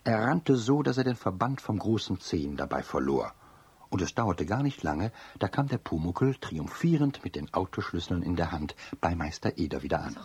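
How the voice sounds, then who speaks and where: Deep, husky storybook narrator. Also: male voice, very animated, moderate pace, a little mellow, heavily acted. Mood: lively storytelling. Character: storybook narrator of a children's tale. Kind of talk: scripted narration.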